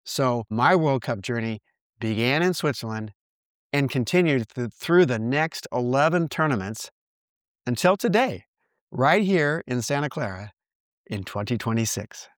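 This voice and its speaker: A man in his sixties from the United States hosting his own podcast, reading reflective narration in an earnest, measured way. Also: medium pitch, expressive, no room sound, clean studio sound. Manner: earnest, measured, reads from a script. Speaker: podcast host.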